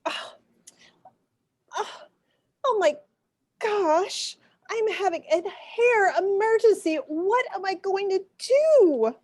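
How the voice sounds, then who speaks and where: A woman acts out a fretful, melodramatic lady in a parody TV show. She speaks slowly in a high voice with lively swings in pitch. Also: exaggerated voice. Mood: dramatic, fretful. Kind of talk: improvised comedy parody.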